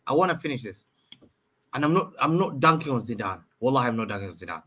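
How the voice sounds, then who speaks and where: A man with a bright, tense voice argues football on a livestream panel. He speaks emphatically and repeats short phrases to hammer home his points. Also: mid-range voice, very animated, fast, breathy edge. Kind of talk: heated football debate on a livestream.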